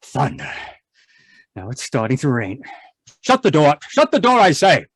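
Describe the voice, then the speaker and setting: Man acting a stern, husky, obsessive husband. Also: a little high, huge pitch swings, a little slow, no echo, studio quality, exaggerated voice. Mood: impatient. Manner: imperious, dismissive, impatient. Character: stern, obsessive, irritable husband tending experimental roses. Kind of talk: old-time radio drama acting. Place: live video call performance. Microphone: video call microphone.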